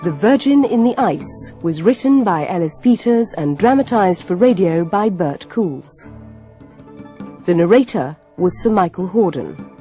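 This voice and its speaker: A woman's voice: lowish voice, animated, unhurried. A woman radio announcer reading scripted programme credits in a formal broadcast style. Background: faint music.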